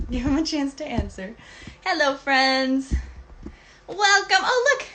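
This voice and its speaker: A woman co-hosting a children's puppet show as the grown-up, talking in an encouraging, gently instructive, parental way. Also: sits high, expressive, slow, a little hiss or hum, rough recording.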